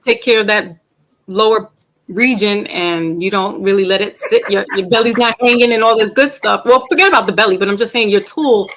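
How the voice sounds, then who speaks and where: A woman in her forties chatting as a podcast guest, playful and quick to poke fun at herself. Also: mid-range voice, a bit bright, slight background noise, poor audio. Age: 40s. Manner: playful, self-mocking, talkative. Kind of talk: casual podcast chat.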